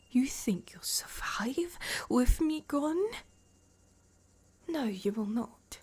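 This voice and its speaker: A woman playing a proud, betrayed royal wife in a dramatic fantasy roleplay, indignant and heartbroken, speaking in a breathy, half-whispered, accusing way. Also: mid-range voice, warm tone.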